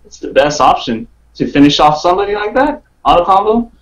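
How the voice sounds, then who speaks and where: A man's voice: sits high, very animated, a little fast, low-quality recording. A man chatting casually about play as a guest on a gaming podcast. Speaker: podcast guest, fighting game player. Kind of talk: casual chat.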